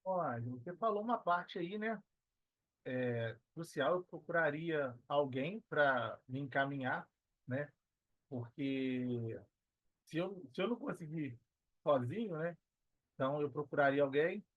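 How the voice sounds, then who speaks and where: A man with a Brazilian Portuguese accent and a slightly high voice, recorded close and dry. He answers casually as an interview guest, halting and reflective, often ending phrases with a short tag question. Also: dry recording, phone-quality sound. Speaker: podcast guest, affiliate marketer.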